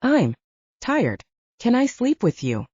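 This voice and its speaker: A woman's voice: fairly high pitch, wildly swooping pitch, takes it slowly, a little mellow, husky, dry recording, dull audio, voice pushed up, heavily acted. Woman voicing a lovestruck, brooding male werewolf. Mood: tired, stubborn. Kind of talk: audiobook character dialogue.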